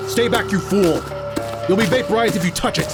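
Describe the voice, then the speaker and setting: An actor playing a defiant, hot-headed guardian of a magical gourd in an audio drama, blunt and indignant. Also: male voice, high-pitched, brisk, over loud music. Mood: indignant alarm.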